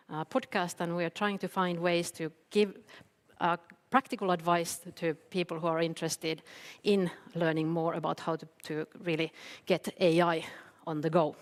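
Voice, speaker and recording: A woman from Finland giving a conference talk, hesitant, with many fillers and restarts. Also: fairly low pitch. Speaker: keynote speaker. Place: event hall. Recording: long hall reverb.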